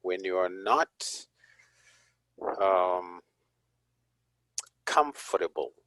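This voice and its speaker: A man from Zimbabwe, a police chaplain and guest, talking in an unhurried, reflective way with frequent chuckles. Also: sits low, expressive, a bit bright, smooth, no room sound, low-quality recording. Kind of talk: conversational interview.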